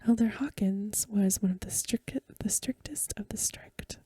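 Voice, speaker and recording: A woman reads a story aloud in a hushed, breathy, mostly whispered ASMR style, unhurried and soothing, close to the microphone. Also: medium pitch, no echo. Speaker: ASMR reader and host. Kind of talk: soft-spoken ASMR reading of a Victorian story.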